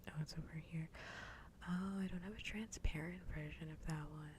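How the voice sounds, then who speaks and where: A woman livestreaming ASMR, chatting casually and playfully in a soft, breathy whisper close to the microphone. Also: deep voice, normal speed, slight background noise. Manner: playful, rambling.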